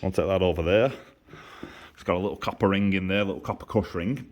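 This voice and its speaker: A man's voice: low voice, fast talker, a little hiss or hum. This is a man. He talks informally, as a mechanic showing practical work on a handheld camera would. He explains each step plainly and often repeats himself. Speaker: motorcycle exhaust maker and mechanic. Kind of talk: casual hands-on workshop demonstration. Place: motorcycle workshop. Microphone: handheld camera held by the speaker.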